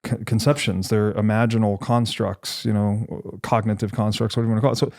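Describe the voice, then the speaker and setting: A man hosting a podcast, explaining ideas conversationally in a thoughtful, measured and halting way as he reasons aloud. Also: fairly low pitch, level tone, gravelly, darkish tone. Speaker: podcast host.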